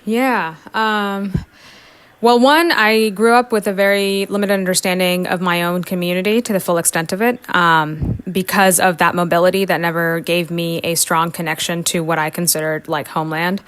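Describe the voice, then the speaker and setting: A woman's voice: on the low side, little pitch movement, normal speed, fairly bright tone, smooth. A young woman from South India, a podcast guest who talks freely with many fillers and frequent laughs. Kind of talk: casual podcast interview.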